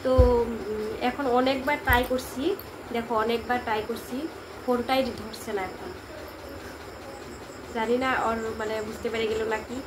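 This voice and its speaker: A woman's voice: fairly high pitch, steady tone, normal speed, long pauses. A woman vlogger chatting casually to her viewers, recorded on a phone with noise behind her. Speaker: vlogger hosting a prank call video. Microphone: phone camera.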